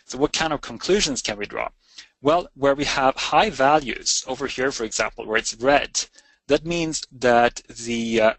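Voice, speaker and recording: A man with a medium-pitched voice walks listeners through a software demonstration. He explains things in a measured, semi-improvised tutorial style, sometimes posing a question and answering it. The sound is dry, with very little room around the voice. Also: dry recording, low-quality recording. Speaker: webinar presenter giving a software demonstration.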